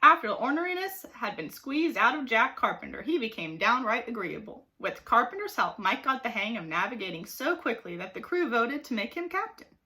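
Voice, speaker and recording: Female storybook narrator, teacherly, slightly high and bright, expressive with dramatic emphasis. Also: brisk, few pauses. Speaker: teacher reading aloud. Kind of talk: animated storybook narration for children.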